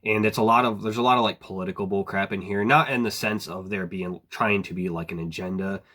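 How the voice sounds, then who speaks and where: A man with a slightly hoarse voice from a cold, chatting casually in a book review vlog, rambling with many fillers and clearing his throat now and then. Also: fairly low pitch, a little fast. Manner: relaxed, rambling, frequent "like" and "um", clears throat often.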